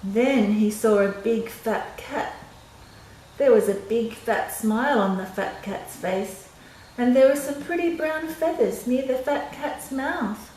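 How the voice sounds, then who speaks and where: An older woman reads a children's story at a slow, unhurried pace in a fairly high voice. She is expressive and adds simple explanations for little listeners. The room echoes around her. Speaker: storyteller reading a picture book to children. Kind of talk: children's story read-aloud. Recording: roomy echo with clear background noise.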